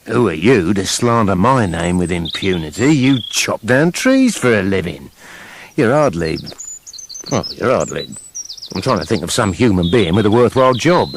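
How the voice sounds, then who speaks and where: Sardonic talking wolf, low-pitched, lively intonation, grumbling and argumentative, radio play. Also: male voice, moderate pace, creaky, slight background noise. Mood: indignant. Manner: wry, argumentative, rambling. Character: grumbling, sardonic, world-weary big bad wolf.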